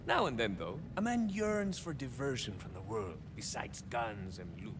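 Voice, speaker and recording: An actor playing a swaggering young cyborg soldier of fortune in a video game, a touched flavor, musing theatrically and landing wry deadpan punchlines. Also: male voice, mid-range voice, normal speed, big-room sound, noisy background, close to an everyday voice. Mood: wistful. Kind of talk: video game character monologue.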